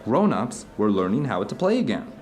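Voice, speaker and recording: Guy playing a theater director telling stories to his old friend, radio play, lowish and really lively intonation. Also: normal speed. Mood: earnest explaining. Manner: storytelling, earnest, discursive. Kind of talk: conversational dramatic monologue.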